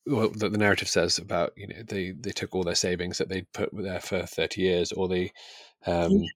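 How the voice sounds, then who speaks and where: Male podcast co-host, low voice, close dry sound, musing hesitantly about fiction with frequent softening of his opinions. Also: flat delivery, normal speed, no echo. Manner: tentative, hedging, trailing off. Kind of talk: conversational book discussion.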